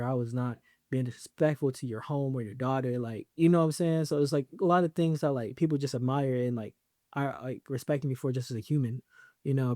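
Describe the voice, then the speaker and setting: A young man riffs through his podcast in a loose, joking way, full of slang and fillers. His voice sits at an ordinary middle pitch. The mic is close and the room sounds dry, with no echo at all. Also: darkish tone. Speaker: podcast host. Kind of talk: casual solo podcast talk.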